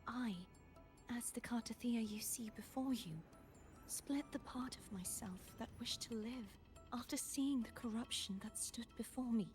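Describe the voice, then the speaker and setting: An actress playing a gentle, sorrowful young woman in a game, speaking earnestly in soft, breathy, measured tones. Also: on the high side, close to an everyday voice. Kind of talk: dramatic game voice acting. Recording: music behind the voice.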